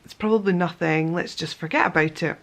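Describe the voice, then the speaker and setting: A young woman with a croaky voice, casually telling her story to the camera in a chatty way, with many ums and self-corrections. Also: lowish voice, brisk. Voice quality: gravelly. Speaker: vlogger.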